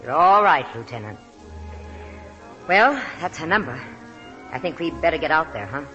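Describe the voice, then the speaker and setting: An actor playing a worldly, soft-hearted nightclub regular in 1940s radio drama, raspy and confiding in colloquial talk. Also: male voice, fairly high pitch, big pitch swings, normal speed, highs cut off, faint music behind. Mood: fond, wry.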